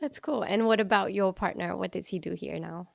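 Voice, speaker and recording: A woman hosting a podcast speaks in a gravelly, creaky voice with a dry, close sound. She talks in an expressive, playful way and drops into dramatic whispers for effect. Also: medium pitch, fairly quick, no room sound, lo-fi sound. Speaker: podcast host. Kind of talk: podcast intro and interview.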